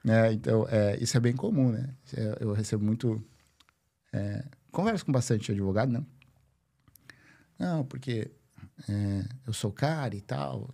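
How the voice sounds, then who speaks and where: A man with a mid-pitched voice hosts a casual conversation. He builds his thoughts haltingly in short pieces, drifting into anecdotes and checking in with little tag questions. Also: creaky, dark tone, husky. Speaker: interview host.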